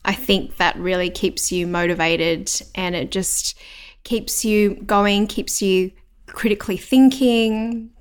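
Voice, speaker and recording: A young woman hosting a casual podcast interview, enthusiastic and encouraging, asking quick questions and reacting warmly. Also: mid-range voice, fairly even intonation, smooth. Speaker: podcast host. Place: Melbourne, Australia.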